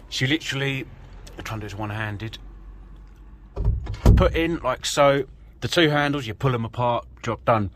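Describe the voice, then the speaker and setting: A British man with a middling-pitched voice talks through a hands-on job in a casual, rambling way. He is informal and self-deprecating, narrating as he works. The handheld recording carries some noise from in and around a vehicle. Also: normal speed, pauses often, fairly bright tone. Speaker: vlogger, amateur vehicle DIYer. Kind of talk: casual handheld DIY walkthrough, narrating while working. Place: in and around an off-road vehicle outdoors. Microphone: handheld phone or camera mic.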